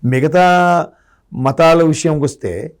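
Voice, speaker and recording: A man's voice: on the high side, takes it slowly, clear, resonant tone. A man giving political commentary in a discussion, arguing his points through rhetorical questions. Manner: argumentative, asks rhetorical questions.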